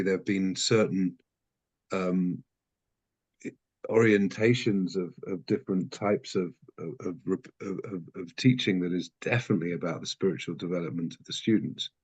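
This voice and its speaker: A man teaching an adult text study class, thinking out loud with many restarts and self-corrections. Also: low-pitched, normal speed, breathy edge, lo-fi sound.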